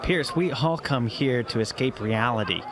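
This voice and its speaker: A man acting in an audio drama as a skeptical, excitable writer friend, with a hesitant, expressive delivery. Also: mid-range voice, normal speed, background noise, close to an everyday voice. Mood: skeptical, musing. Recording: music behind the voice. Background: music.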